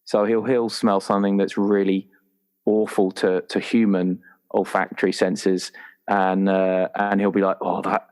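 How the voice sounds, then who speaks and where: A man with a fairly low voice talks casually as a tabletop player thinking aloud. His manner is playful, and he drops into bits of imagined dialogue as he goes. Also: level tone, unhurried, a little mellow, clean tone. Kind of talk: casual chat, inventing a character.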